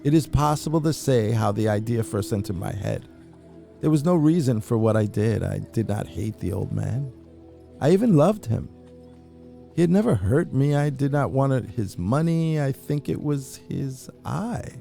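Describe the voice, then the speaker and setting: A man giving a dramatic reading of a classic horror story, brooding and intense, with sudden hushed drops and rising outbursts. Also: medium pitch, lively intonation, moderate pace, vocal fry, soft background music. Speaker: reader.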